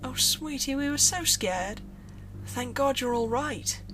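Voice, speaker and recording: A woman's voice: high voice, lively intonation, slow, fairly bright tone, soft background music, voice pushed up, full character voice, rough recording. A woman voicing an affectionate mother soothing her small child with a childlike lilt, in an acted story reading. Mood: relieved, tender.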